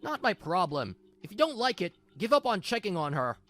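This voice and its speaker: A woman's voice: medium pitch, very animated, fairly quick, natural voice. A woman playing a callous, spoiled, dismissive young husband in a manga drama dub. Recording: music behind the voice.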